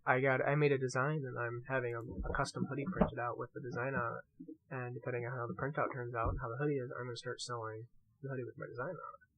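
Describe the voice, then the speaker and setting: A male podcast co-host with a medium-pitched voice. He chats casually and jokes around, slipping into mock-serious banter and swearing freely as he goes. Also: flat delivery, quick pace, phone-quality sound, over a noisy crowd. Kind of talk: casual joking podcast chat.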